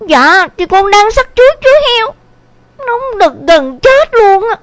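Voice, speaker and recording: A woman voicing a cheeky, excitable young village daughter with a whiny, exclamatory delivery and a southern Vietnamese accent, in an audio story. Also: very high voice, a little slow, exaggerated voice. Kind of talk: dramatised audio story acting.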